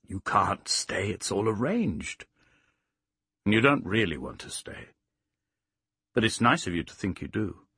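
A man voicing a gentle, sensible male dog in an audiobook, speaking in a measured, resigned, wistful manner. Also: very animated, husky, no echo, clean studio sound, heavily acted. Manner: slow, low, measured, resigned. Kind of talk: audiobook character voice.

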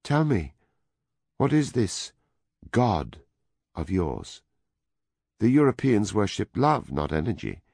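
A man voicing an impassioned European woman who speaks softly and earnestly. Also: lowish voice, sing-song, takes it slowly, long pauses, breathy edge, no room sound, studio quality, pitched above the performer's own voice, exaggerated voice. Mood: questioning. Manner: soft, earnest, pleading.

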